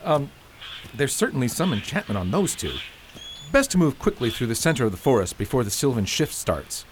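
A man's voice: mid-range voice, sing-song, fairly quick, slight background noise, lightly acted, rough recording. A man playing a practical, protective father and tin merchant in a radio fairy tale, speaking earnestly.

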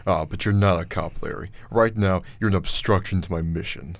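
A man's voice: sits low, a little fast, vocal fry, no room sound. A man playing a menacing, smug, relentless government agent in a radio play. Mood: smug, threatening. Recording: car noise behind.

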